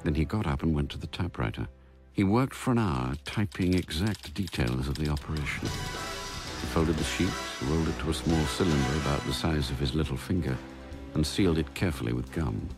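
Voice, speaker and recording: An actor as a storyteller, giving measured, matter-of-fact narration in a radio play. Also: male voice, a bass voice. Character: measured male storyteller narrating a spy thriller. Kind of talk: scripted radio drama narration. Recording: narration over background music.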